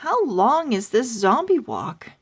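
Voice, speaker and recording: A woman's voice: fairly high pitch, sing-song, takes it slowly, husky, voice pushed up, heavily acted. A woman voicing a chatty, easygoing father who explains things mildly in a children's storybook.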